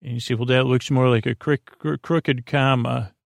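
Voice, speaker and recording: A man with a gravelly, fry-laden voice delivers a rambling bedtime monologue as a podcast host. His pitch sits in an ordinary male range, and he meanders haltingly, dropping and restarting thoughts. The sound is dry and close, with very little room. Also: steady tone, no room sound. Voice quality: creaky, gravelly.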